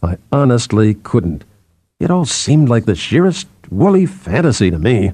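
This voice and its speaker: A man reads as a weary newspaperman in a storybook tale. He is stubborn and a little defensive, with a dark, soft-edged tone. The recording is close and dry. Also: medium pitch, sing-song, unhurried, slightly rough, dry recording, voice pushed down. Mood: indignant, shaken. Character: proud, weary male newspaperman, uneasy yet stubborn.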